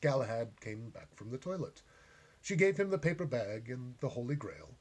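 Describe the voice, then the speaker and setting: A man reading a short story aloud as a storyteller, with gentle, deliberate and dramatic phrasing. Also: mid-range voice, very animated.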